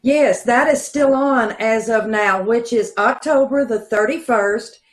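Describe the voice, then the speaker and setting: A woman co-producer making brisk, practical announcements over a video call from home. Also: a little high, reverberant. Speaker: theater co-producer.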